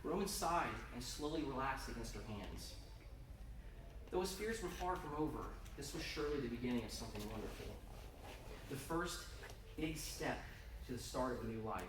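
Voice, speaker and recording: A man reading his own story aloud with intense, theatrical delivery and emphatic pauses. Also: medium pitch, lively intonation. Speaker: author reading own fiction. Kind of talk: dramatic live story reading. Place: indoor convention reading room. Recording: roomy, distant, noisy live event recording.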